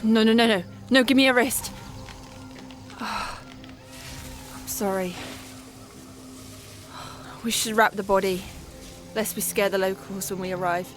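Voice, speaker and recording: A woman's voice: mid-range voice, sing-song, slow, pauses often, soft background music. An actress playing an earnest, caring female adventurer who takes charge, in an audio drama. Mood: distressed, sorrowful. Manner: earnest, urgent, compassionate. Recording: long reverb.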